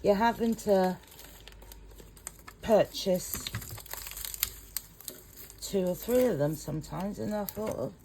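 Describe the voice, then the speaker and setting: A woman's voice: mid-range voice, takes it slowly, long pauses, dark tone. A middle-aged English woman, a home cook, talking in a rambling, chatty, self-conscious way in her kitchen. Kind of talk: casual cooking vlog. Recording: noisy home recording.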